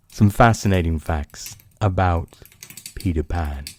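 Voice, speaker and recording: Deep, slow-speaking male bedtime storyteller. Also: darkish tone. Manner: dramatic pauses, savoured phrasing. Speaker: podcast host and storyteller. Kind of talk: scripted narration, bedtime storytelling.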